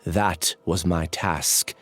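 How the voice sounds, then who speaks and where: A man's voice: deep voice, steady tone, extremely slow, a bit bright, faint music behind, exaggerated voice. A man playing an earnest, troubled young student outcast in a video game, subdued and solemn.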